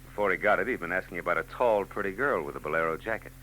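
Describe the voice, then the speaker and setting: An actor playing a hardboiled private eye in a 1940s radio drama, dry and sardonic with quick wisecracks. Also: male voice, deep voice, sharp tone, slight background noise, low-quality recording. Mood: wry. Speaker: narrator and lead.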